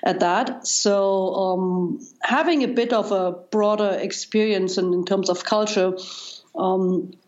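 A woman in her forties from East Germany with a German accent, answering interview questions thoughtfully with many pauses and fillers. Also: mid-range voice, fairly even intonation, a little mellow, lo-fi sound. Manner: thoughtful, many ums and restarts. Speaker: guest, data scientist.

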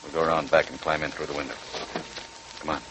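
A man's voice: a bass voice, fairly even intonation, quick pace. An American man playing a commanding sheriff in a 1940s radio crime drama, giving terse, clipped orders. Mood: firm, wary. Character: commanding small-town sheriff hunting an armed fugitive. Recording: noisy, clicking 1940s radio recording.

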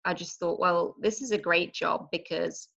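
A woman in her forties from Norwich, England, with an English accent, chatting as a podcast guest about her work in a relaxed, explaining way with frequent ums. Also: lowish voice, moderate pace, creaky, highs cut off. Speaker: recruitment manager, guest. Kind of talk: conversational podcast interview.

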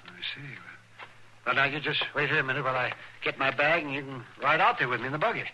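An actor playing a stubborn, older frontier doctor, calm and fatherly, in 1950s radio drama style. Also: medium pitch, fast, slight background noise, poor audio. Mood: gentle.

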